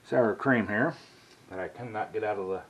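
A man's voice: fairly low pitch, normal speed, highs cut off, heavy background noise. A middle-aged man, rambling in a folksy way as he cooks and talks in his home kitchen. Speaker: cooking vlogger. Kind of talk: casual cooking vlog.

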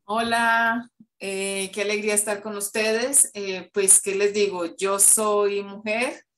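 A woman's voice: medium pitch, little pitch movement, takes it slowly, a bit bright. A middle-aged woman with a Colombian accent introducing herself in a partly declaimed style on a video call. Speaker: theologian, panelist. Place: indoor office, video call. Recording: noisy video call with clicks.

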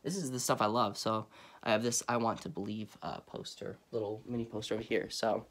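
A man's voice: on the low side, fairly even intonation, a little fast, a bit bright. Stuffy young man rambling on a room tour. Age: young adult. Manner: chatty, rambling, lots of fillers. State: stuffy from a cold. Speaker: vlogger. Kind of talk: casual vlog room tour. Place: dark bedroom lit by Halloween lights. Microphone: handheld phone camera at arm's length.

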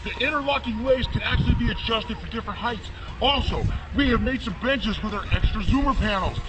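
A middle-aged man giving an infomercial sales pitch as an inventor, excitable and bellowing, pushing hard for the sale. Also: a little fast, noisy background. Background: music.

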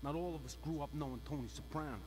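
Male actor as ambitious young mobster, video game, highish voice, earnest, noisy hum behind. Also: fast, a little mellow, close to an everyday voice. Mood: eager, defensive. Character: eager, ambitious young male mob hopeful. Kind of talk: video game cutscene acting.

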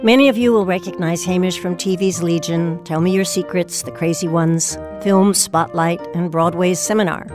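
Female presenter, slightly low register, scripted radio introduction over prominent music. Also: steady tone, normal speed, few pauses. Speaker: host introducing a radio play. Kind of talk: scripted narration. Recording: music behind the voice.

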